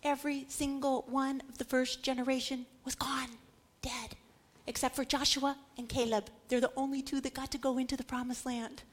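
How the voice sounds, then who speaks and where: A woman with a notably high voice delivers a teaching sermon. She leans dramatically on key words and often turns to her listeners with rhetorical questions, in the manner of a preacher explaining scripture. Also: level tone, normal speed. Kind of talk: sermon with Bible history teaching.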